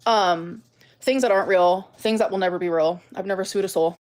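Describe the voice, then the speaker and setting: A woman's voice: medium pitch, a little fast, a little mellow. A woman video creator talking casually to her viewers, emphatic and defensive, often repeating herself.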